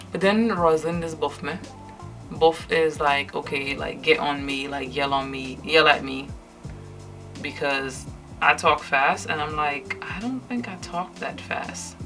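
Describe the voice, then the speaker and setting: A young woman from Trinidad and Tobago talks to her camera at home in a low voice, a light Trinidadian lilt under her words. She sounds tired but candid, her talk full of fillers and restarts, while clear music plays behind her. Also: a little slow, a bit bright. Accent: Trinidadian English, lightly. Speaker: vlogger. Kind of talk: casual chat to camera while doing makeup. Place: indoors at home, night.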